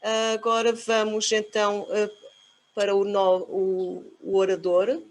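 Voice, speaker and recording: A woman with a Portuguese accent introduces a speaker over a video call. She hesitates often, with fillers between phrases. Her voice sits at a middle pitch. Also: monotone, normal speed. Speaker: session chair. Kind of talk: conference session chairing.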